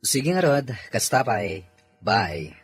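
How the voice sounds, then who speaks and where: A man's voice: mid-range voice, sing-song, slow pace, slightly rough, soft background music, full character voice. A man with an Ilocano accent acting a reserved, lonely young father in an audio drama. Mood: friendly.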